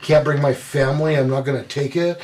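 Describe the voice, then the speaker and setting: Halting male podcast co-host. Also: mid-range voice, fairly even intonation, over a noisy crowd. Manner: halting, many fillers and restarts. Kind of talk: casual chat.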